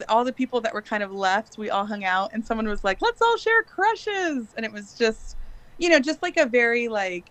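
A woman's voice: fairly high pitch, fairly bright tone, no room sound. Chatty woman in her late thirties. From grew up in Bangladesh. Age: late 30s. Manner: chatty, laughs often, hesitant fillers. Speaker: podcast guest. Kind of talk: casual interview chat.

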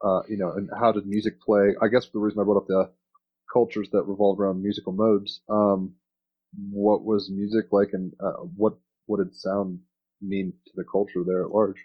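A man's voice: on the low side, flat delivery, normal speed, breathy edge. Laid-back man interviewing, dull lo-fi sound. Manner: rambling, laid-back slangy phrasing. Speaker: interviewer and host. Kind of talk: casual conversational interview.

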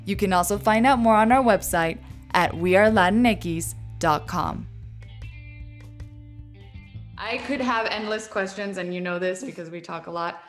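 A woman's voice: medium pitch, normal speed. A woman hosting an interview podcast, asking questions in a friendly, encouraging way. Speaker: host. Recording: faint music behind.

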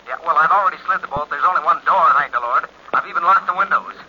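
A scared, anxious man in an old radio thriller. His voice runs fairly high and he talks fast, rattled and urgent. The sound is muffled and a little hissy. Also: husky. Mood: frightened, urgent. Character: nervous, frightened man pleading with a friend. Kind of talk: 1940s radio drama acting.

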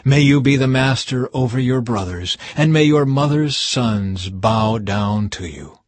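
An old blind father speaks his blessing slowly and gravely, voiced by a man reading the story. The voice is husky and sits mid-range. The sound is dry and close. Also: mid-range voice, animated, no room sound. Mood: solemn.

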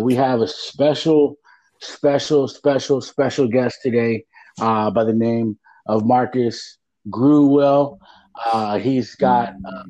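A man's voice: medium pitch, mellow, dry recording. A man hosting a casual podcast, rambling with lots of fillers and restarts. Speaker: podcast host. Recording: muffled lo-fi audio.

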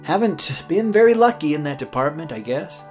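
A man's voice: fairly high pitch, big pitch swings, a little fast, darkish tone. A young rookie night DJ in a horror radio play. His delivery swings up and down, casual and self-deprecating, a little hesitant, with faint music behind. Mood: bashful joking. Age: young adult. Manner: hesitant, joking. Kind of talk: naturalistic horror audio drama acting.

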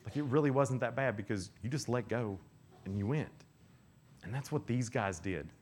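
A man's voice: medium pitch, moderate pace, slight background noise. A young man giving a casual, joking sermon to teenagers at a youth camp, often acting out little voices. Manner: animated, joking, acts out quoted voices.

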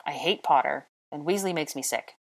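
A woman's voice: deep voice, moderate pace. Scornful teenage boy played by a woman, irritable and scoffing, for audio fiction. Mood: hard, reluctant. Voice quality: rough. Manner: scoffing, curt.

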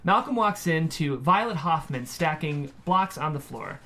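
Higher-pitched man reading screenplay directions. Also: animated, a little slow, sharp tone. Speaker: stage-direction narrator at a table read. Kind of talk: scripted narration of screenplay directions.

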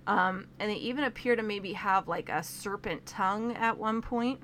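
A woman's voice: mid-range voice, normal speed, a bit bright, a little hiss or hum. Chatty young woman reviewing a film. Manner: chatty, organized by topic, with frequent "um" and "like". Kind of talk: casual video review.

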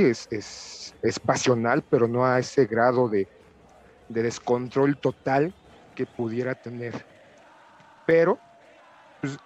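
A Mexican man giving his view in a casual panel discussion, haltingly, repeating words as he reasons. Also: mid-range voice, dull audio.

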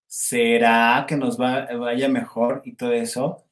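A man's voice: mid-range voice, level tone, takes it slowly. Mexican man livestreaming in an echoey room. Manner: chatty, rambling, informal. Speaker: livestream host. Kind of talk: casual live chat with viewers. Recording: roomy, echoey sound.

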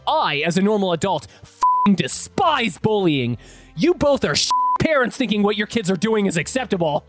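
A woman voicing a protective father with a blunt, forceful manner in a dramatized story. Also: medium pitch, expressive, quick pace, no room sound, faint music behind, natural voice. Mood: angry, defiant. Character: angry protective father standing up to bullies.

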